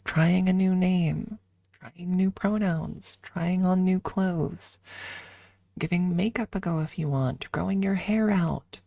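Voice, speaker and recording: Female ASMR streamer: lowish, creaky voice, soft casual chat slipping in and out of whispers, close-miked. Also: dry recording. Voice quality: breathy, soft. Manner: halting, informal, drifting between whisper and soft talk. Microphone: close microphone.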